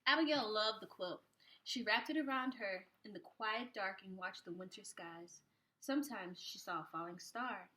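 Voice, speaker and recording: Bright-voiced woman reading a children's storybook. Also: medium pitch, normal speed, rough recording. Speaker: preschool teacher reading aloud. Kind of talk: expressive children's storybook read-aloud.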